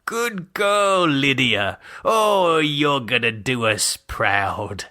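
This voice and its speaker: A man's voice: mid-range voice, very animated, extremely slow, pushed and bright, voice pushed up, exaggerated voice. A man voicing a fond, playful father in an audiobook, explaining things with hesitant affection and a touch of comic menace. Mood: affectionate. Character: fond playful English father, gleefully wicked about swords.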